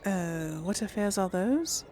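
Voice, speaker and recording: Female let's-play host, unhurried, lively pitch, casual and musing aloud. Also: a little hiss or hum. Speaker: gaming video host. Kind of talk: casual role-play game commentary.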